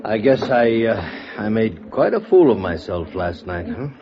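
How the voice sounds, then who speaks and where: A man's voice: lowish voice, sing-song, measured pace, a crowd in the background. A man playing a weary, sheepish television producer, hesitant and subdued, in a 1950s radio drama. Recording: dull, faintly noisy old radio recording.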